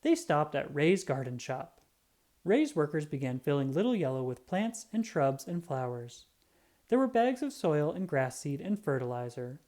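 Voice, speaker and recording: A woman reading a children's book aloud in an animated, expressive storytelling style. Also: low-pitched. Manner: expressive, drawn-out emphasis on sound words.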